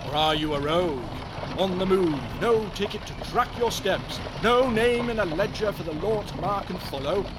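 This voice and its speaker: High-pitched, excitable pompous little gentleman. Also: moderate pace, background noise. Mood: curious, jovial. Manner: florid, theatrical, pompous. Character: excitable, pompous, jolly small Georgian gentleman traveller. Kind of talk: audio drama acting. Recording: loud outdoor vehicle sound effects. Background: rattling coach journey ambience.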